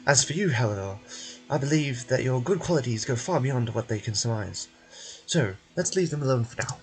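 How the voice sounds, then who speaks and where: A man's voice: mid-range voice, lively intonation, a little fast, a little mellow, soft background music, lightly acted, low-quality recording. A man voicing a confident, welcoming male institute director in a video game, hearty and emphatic. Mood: amused, confident.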